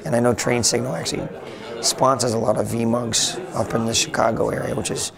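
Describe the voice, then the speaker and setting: A man being interviewed casually at a convention, talking with a raspy, slightly hoarse voice and rambling with lots of restarts. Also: mid-range voice, flat delivery, brisk. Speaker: interviewee, tech blogger. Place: busy convention floor. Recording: noisy crowded convention hall. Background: crowd chatter.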